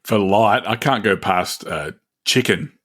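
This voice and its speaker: Joking Australian man, bright voice. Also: fairly low pitch. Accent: Australian, country Victorian. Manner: joking, halting with restarts. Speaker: podcast co-host. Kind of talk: casual chat.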